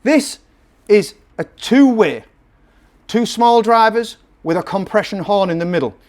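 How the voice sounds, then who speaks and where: A man's voice: high voice, unhurried, a little mellow. A man presenting a casual product review to camera, emphatic and salesman-like, repeating phrases to drive his point home. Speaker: presenter and seller of PA gear.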